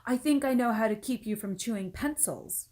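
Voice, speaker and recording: A woman plays a brisk schoolteacher from a children's storybook. She is firm and commanding, placing her words deliberately. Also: fairly high pitch, a little mellow, lightly acted.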